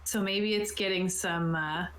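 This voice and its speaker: A woman's voice: lowish voice, steady tone, a little slow, fairly bright tone. A woman game master casually explaining tabletop game rules in an informal, conversational way. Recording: roomy, echoey voice sound.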